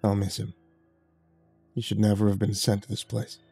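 A man voicing a gruff, battle-hardened warrior, weary and bitter with grief. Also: fairly low pitch, completely flat delivery, moderate pace, dark tone, no room sound, faint music behind, voice pushed down.

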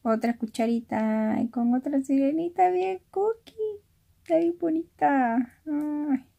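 Soft-spoken Mexican woman, unhurried craft chat. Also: high voice, creaky. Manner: soft-spoken, keeping voice down because others are sleeping. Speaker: craft vlogger. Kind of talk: casual chat, narrating an unboxing. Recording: noisy room with handling rustle and crinkling. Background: clicks, crumpling and crinkling of packaging.

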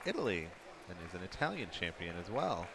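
A very deep-voiced man calls a live dog show, relaxed and quick to poke fun at himself. Steady crowd noise runs under his broadcast commentary. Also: creaky. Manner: relaxed, self-deprecating joking.

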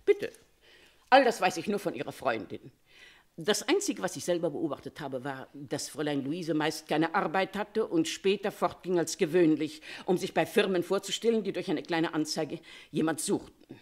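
A Paris concierge in a radio crime drama, a woman who loves to gossip. Her voice sits a little low but swings widely up and down as she confides her firm opinions. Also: lively intonation, moderate pace. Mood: gossipy, knowing. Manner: chatty, confiding, opinionated storytelling. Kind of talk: German radio crime play acting.

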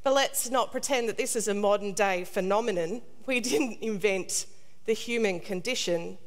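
A middle-aged woman priest delivers a scripted sermon in a measured, solemn, deliberate way. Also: mid-range voice. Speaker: priest, preacher. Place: large stone cathedral, indoors. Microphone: lectern gooseneck microphone. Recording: long cathedral reverb.

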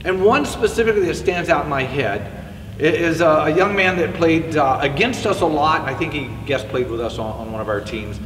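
A middle-aged man coaches hitting in a relaxed, chatty way, his voice sitting a little high for a man. He stands back from the camera inside a large indoor batting cage. The room gives a long, hollow echo, with a faint hum of ambient noise underneath. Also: fairly quick. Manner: conversational, demonstrating with a bat while he talks. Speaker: hitting instructor. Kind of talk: spoken coaching lesson to camera. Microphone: far camera. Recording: echoey indoor facility, distant mic.

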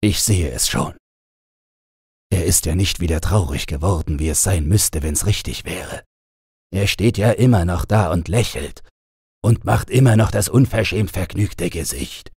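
A man voicing a stern, grumpy old king in a fairy-tale audiobook, gruff and commanding. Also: low voice, a little slow, darkish tone, no room sound, studio quality, full character voice. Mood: resigned.

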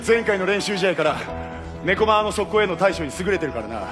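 A man's voice: high voice, animated, fairly quick. An actor playing a keen young male volleyball player who explains the match analytically in a stage play. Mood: explaining, impressed. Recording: stage play audio, loud music.

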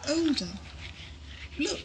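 An actress playing a sardonic, insolent spaceship science officer in a sci-fi audio drama. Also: female voice, slow pace, noisy background, lightly acted. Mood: wary. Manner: dry, insolent, challenging. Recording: 1980s amateur audio-drama tape.

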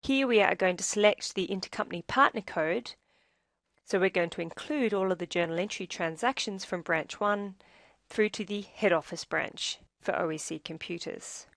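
A woman reading scripted instructional narration for a software tutorial in a measured, explanatory way. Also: mid-range voice, dry recording, clean studio sound.

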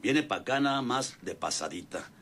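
A man's voice: mid-range voice, expressive, normal speed, a bit bright, slight background noise. A man acting a boastful, bossy ranch boss in an old-fashioned radio drama, with a rural northern Mexican accent and folksy, domineering manner. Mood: scheming.

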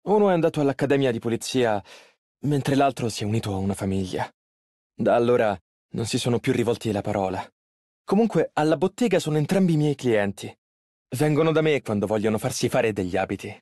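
A man's voice: medium pitch, lively intonation, brisk, darkish tone, no echo, clean studio sound, lightly acted. An actor playing a polite, earnest and easily flustered young male tailor in an Italian game dub. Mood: calm, reflective. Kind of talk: video game character voice lines, Italian dub.

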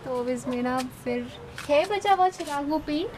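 Young woman host, chatty, explains to viewers, fairly high voice, arm's-length camera, rooftop with steady outdoor noise. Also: normal speed. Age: young adult. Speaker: vlog host. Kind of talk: casual chat to camera. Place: open rooftop terrace, outdoors. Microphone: handheld camera at arm's length. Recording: noisy outdoor ambience.

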